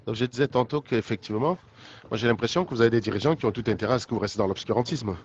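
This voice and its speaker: A man's voice: medium pitch, very fast, dull audio, slight background noise. A man with a head cold, giving an indignant political monologue, full of rhetorical questions and restarts. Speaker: political commentator. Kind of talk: casual monologue to followers.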